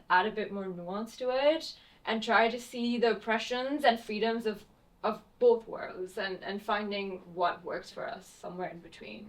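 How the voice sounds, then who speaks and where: A young woman from Pakistan, a playwright, answering interview questions in a thoughtful, halting way with many fillers. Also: mid-range voice, fairly bright tone, rough recording. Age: young adult. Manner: hesitant, many fillers and restarts.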